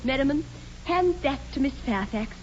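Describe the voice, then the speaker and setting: An actress playing a prim, sweetly combative young British ingénue, precise and emphatic, in 1940s radio comedy style. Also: female voice, high-pitched, lively intonation, moderate pace. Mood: prim defiance. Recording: muffled, noisy 1940s radio broadcast.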